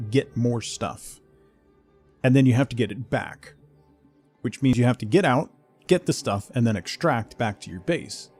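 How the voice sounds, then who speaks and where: A man's voice: medium pitch, darkish tone. A man livestreaming a game with casual, conversational commentary, chatting to viewers in a laid-back way.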